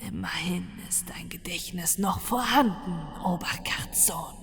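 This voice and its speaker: Audiobook creature: a contemptuous otherworldly male being, whispered slow and airy, put on by a woman reader. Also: on the low side, gravelly, fairly bright tone, breathy edge, soft background music, full character voice. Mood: scornful whisper.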